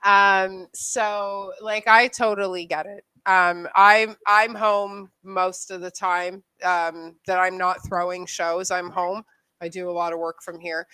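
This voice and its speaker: A woman's voice: fairly low pitch, flat delivery, slow pace, sharp tone, clear, resonant tone, no room sound. A woman from Canada's Niagara region in her thirties, hosting a casual chat from home. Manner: chatty, loose, laughs easily. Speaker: show host. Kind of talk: casual video chat interview.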